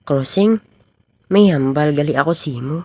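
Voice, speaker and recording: Girl acting an earnest schoolboy, low mellow voice. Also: a little slow, dry recording. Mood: smitten. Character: earnest lovestruck schoolboy named Lando. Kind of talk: amateur radio drama acting in Filipino regional language.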